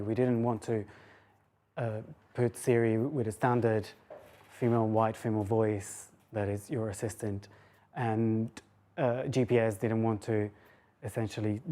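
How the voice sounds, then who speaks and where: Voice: mid-range voice, mellow. A woman lecturer giving an academic public talk, mixing scholarly phrasing with informal asides.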